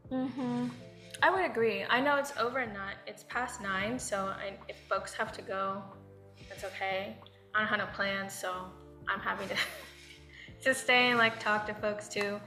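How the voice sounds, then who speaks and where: A woman's voice: mid-range voice, fairly even intonation, normal speed, sharp tone, faint music behind. A woman speaking as a guest on a panel, reflective and loose, with many fillers. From United States. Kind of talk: panel discussion talk. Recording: echoey room.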